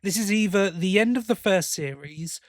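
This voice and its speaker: A man's voice: high voice, normal speed, no room sound, clean studio sound. A man co-hosting a fan podcast, giving opinions in a casual, conversational review style. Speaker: podcast host.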